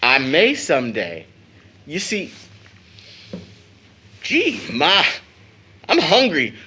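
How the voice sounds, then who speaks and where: A man's voice: fairly high pitch, wildly swooping pitch, extremely slow, pushed and bright, breathy edge, exaggerated voice. A man acting an eager, cheeky, devoted teenage son in an audio drama, boyish and slangy. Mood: cheerful, affectionate.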